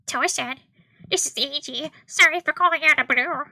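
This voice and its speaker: A woman's voice: sits high, normal speed, vocal fry, pushed and bright, dry recording, pitched above the performer's own voice, full character voice. A woman voicing a polite, eager young man in a visual novel read-aloud. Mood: flustered, apologetic. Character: polite, unruffled young male caller, apologetic messenger. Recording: music behind the voice.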